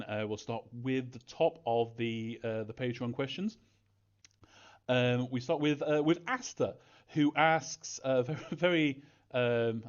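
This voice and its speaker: Halting man in dull lo-fi audio. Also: medium pitch. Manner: hesitant, halting delivery with frequent ums. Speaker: game developer hosting a fan Q&A. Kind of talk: casual, unscripted Q&A talk.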